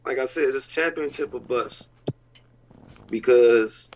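A man talks excitedly as a football head coach, repeating phrases in loose, informal speech. His voice sits at a middle pitch. The recording sounds dull and lo-fi. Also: slow, a little hiss or hum. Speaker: head coach and team owner. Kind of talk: casual chat.

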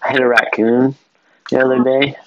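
A man's voice: mid-range voice, little pitch movement, moderate pace, fairly bright tone. A young man chatting casually on a podcast in a laid-back, slangy way, calling people dude and bro. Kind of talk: casual chat. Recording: dull lo-fi sound.

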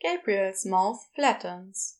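A woman's voice: mid-range voice, expressive, takes it slowly. A woman narrating scripted fiction as an audiobook storyteller.

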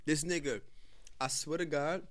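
A man's voice: on the high side, steady tone, moderate pace. A young man chatting casually on a podcast, telling loose stories and breaking into big laughing fits. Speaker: podcast co-host. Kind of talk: casual chat.

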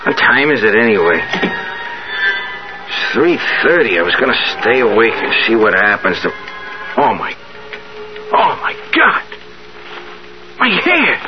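An actor playing a young, scheming, slangy street hustler in a 1970s radio drama. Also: male voice, mid-range voice, wildly swooping pitch, a little slow, pauses often, music in the background. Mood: groggy, startled. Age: young adult. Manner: slangy, insistent. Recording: dull, noisy 1970s radio recording.

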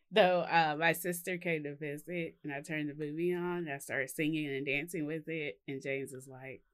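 A woman's voice: low-pitched, unhurried. A woman co-hosting a podcast from home in casual chat, rambling and laughing often. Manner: giggly, rambling. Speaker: podcast co-host. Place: home in Texas.

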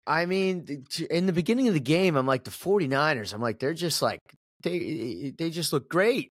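A man's voice: on the high side, lively intonation, moderate pace, no room sound, clean studio sound. A man hosting a podcast in casual sports chat, talking emphatically, repeating words and swearing casually. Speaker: podcast host.

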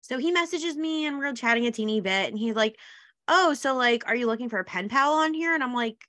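A woman's voice: on the high side, lively intonation, fairly bright tone, no room sound. Bubbly woman podcast host, dry sound. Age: 20s. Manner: bubbly, quick laughs, many fillers. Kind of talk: casual chat.

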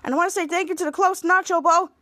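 A woman's voice: extremely high pitch, steady tone, very fast, bright, forceful tone. A woman voicing a cheeky, polite comic robber villain with a breezy, taunting manner in a retold superhero story. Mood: breezily polite.

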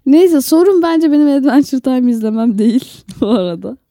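A woman's voice: high-pitched, moderate pace, warm tone, no room sound, studio quality. A woman co-hosting a podcast in casual banter, playfully complaining. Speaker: podcast co-host.

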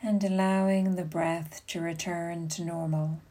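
Woman doing guided meditation, lowish soft-edged mellow voice, really slow with long gaps. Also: flat delivery. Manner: slow, soothing, unhurried instructions with long pauses. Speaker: yoga nidra meditation guide.

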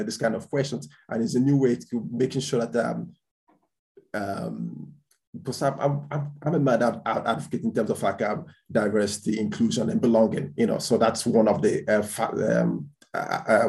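Man, low voice, gravelly, halting, restarts often, casual interview guest. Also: sing-song, darkish tone. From born in Spain, raised in Portugal. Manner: halting, many restarts and repeated words. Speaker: guest entrepreneur.

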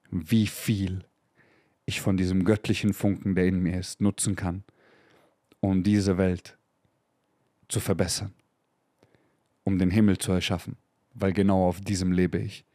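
A man's voice: sits low, little pitch movement, unhurried, pauses often, warm tone, no echo, clean studio sound. A man in his thirties hosting a motivational podcast, talking emphatically and profanely and mixing in English slang. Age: 30s. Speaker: podcast host.

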